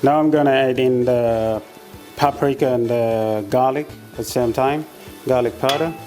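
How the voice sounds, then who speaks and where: A man from South Sudan with a South Sudanese accent, demonstrating a recipe in his kitchen and explaining each step in an unhurried way, with many hesitant pauses. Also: medium pitch, over music. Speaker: home cook. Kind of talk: cooking demonstration.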